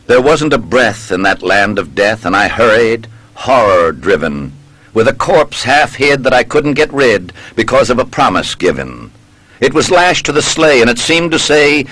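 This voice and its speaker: Slow, dramatic man reciting narrative verse. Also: mid-range voice, a bit bright, no room sound, low-quality recording. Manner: deliberate, storytelling delivery with drawn-out line endings. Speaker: poem reader.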